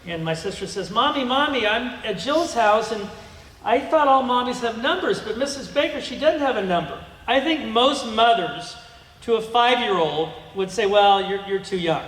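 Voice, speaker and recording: A man's voice: sits high, animated, normal speed, a bit bright. Middle-aged man lecturing in an echoey hall. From United States. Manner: rambling, many ums and restarts. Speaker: guest speaker. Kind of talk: museum lecture with slides. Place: museum lecture room. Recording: echoey hall with long reverb.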